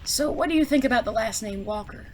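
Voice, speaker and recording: A woman's voice: fairly high pitch, fast talker, slight background noise, natural voice. A woman playing an affectionate, playfully haughty outlaw in a western audio drama, with flowery, genteel diction. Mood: teasing, fond.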